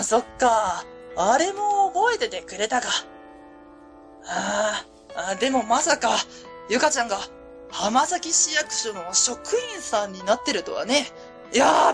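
A woman playing a cheerful, welcoming small-factory boss, friendly and polite, in a radio drama. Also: a little high.

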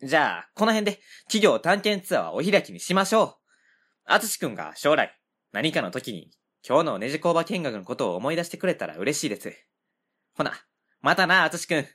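A woman with a Kansai accent voicing a kindly, soft-hearted middle-aged man who runs a small factory, in an amateur radio drama. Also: sits low, sing-song, a little fast, full character voice. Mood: polite. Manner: gentle, humble, easily moved.

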